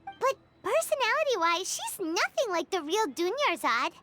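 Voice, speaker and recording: A woman voicing a small, excitable, talkative fairy companion in a video game, with a squeaky, childlike, earnest delivery. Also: normal speed, soft background music, full character voice. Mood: questioning. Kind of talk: English video game dub acting.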